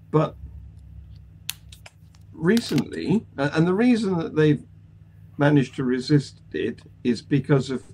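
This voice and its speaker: An older man hosts a news panel over a video call. He speaks slowly and deliberately with pauses, in a soft, mellow voice. A light hum sits behind him. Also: a little high. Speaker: panel show host. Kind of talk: presenting news items to a panel. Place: small room at home, indoors. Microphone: video call microphone.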